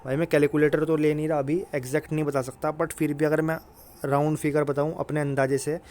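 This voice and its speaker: Higher-pitched man, informal coach. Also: little pitch movement, fairly quick, a little mellow, a little hiss or hum. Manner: repetitive, emphatic reassurance with frequent filler address. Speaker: exam coaching YouTuber. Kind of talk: informal exam guidance talk.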